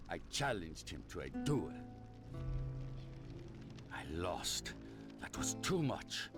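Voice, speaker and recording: Slow, theatrical, remorseful male captain. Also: low voice, full character voice. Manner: theatrical, slow, confessional delivery. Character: proud, remorseful male captain, a disgraced jilted duelist. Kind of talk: dramatic video game voice acting. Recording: music behind the voice.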